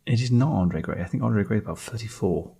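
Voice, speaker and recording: A man's voice: lowish voice, fast, vocal fry, dark tone, slightly rough. A man co-hosting a football podcast, trading banter and quiz clues in casual chat.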